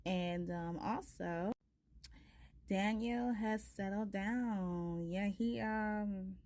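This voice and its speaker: A woman in her thirties with a soft voice, a tarot reader chatting casually and ramblingly with her viewers. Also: medium pitch, very slow. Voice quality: soft-spoken. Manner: rambling, many fillers. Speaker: tarot reader, channel host.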